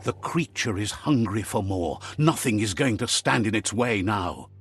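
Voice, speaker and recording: A man voicing the narrator of a video game, a wise storyteller speaking in slow, measured sayings. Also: on the low side, animated. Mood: calm, sage. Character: calm wise male narrator of a game world. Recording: game audio with clear music behind.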